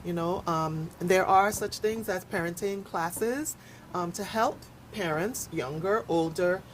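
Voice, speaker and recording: A woman with a fairly low voice explains things on a discussion panel. Her delivery is measured, earnest and articulate, and there is clear noise in the background. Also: low-quality recording.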